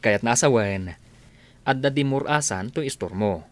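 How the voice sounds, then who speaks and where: A man's voice: medium pitch, expressive, measured pace, lightly acted. A man playing an easygoing, generous village husband who lends money, plain-spoken in an Ilocano radio comedy drama. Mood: encouraging.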